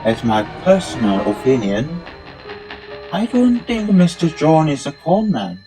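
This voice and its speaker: Guy doing a homemade audio play, slow stiff formal english, music playing behind him. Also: fairly high pitch, sing-song, poor audio. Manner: formal, stilted, non-native English phrasing. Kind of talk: scripted amateur audio drama acting. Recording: music under the voice. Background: instrumental music.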